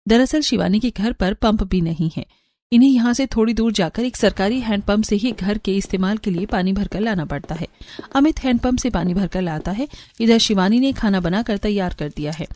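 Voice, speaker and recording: A woman's voice: medium pitch, a little fast, warm tone, no room sound. A woman reading scripted narration for a cartoon story.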